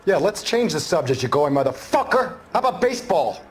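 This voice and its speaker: High-pitched, agitated murder suspect. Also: male voice, big pitch swings, fairly quick. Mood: indignant. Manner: agitated, combative. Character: indignant, defiant male shopkeeper murder suspect. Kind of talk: video game dramatic acting.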